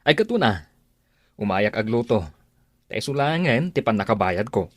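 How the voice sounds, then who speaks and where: Man acting easygoing Ilocano brother, lively delivery. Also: lowish voice, normal speed, dry recording, clean studio sound. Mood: easygoing, generous. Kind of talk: radio drama acting.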